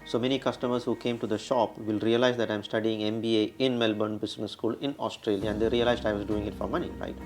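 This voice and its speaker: A man's voice: on the low side, steady tone, soft background music. A man with a heavy Indian accent, telling stories as an interview guest and often checking in with "right?". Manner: ends many sentences with a questioning "right?"; fast talking. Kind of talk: conversational interview storytelling.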